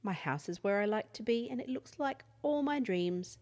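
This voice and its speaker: A woman's voice: medium pitch, animated, moderate pace, darkish tone, voice pushed up, lightly acted. A woman voicing an easygoing, dreamy, eccentric male homeowner in a children's story, unbothered and self-assured. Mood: serene, assured.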